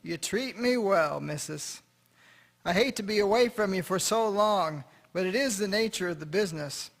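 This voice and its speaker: A man's voice: high voice, moderate pace, vocal fry. A man playing a cheerful, courteous small-town machinery dealer in a period radio drama, genial and old-fashioned in manner. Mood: fond.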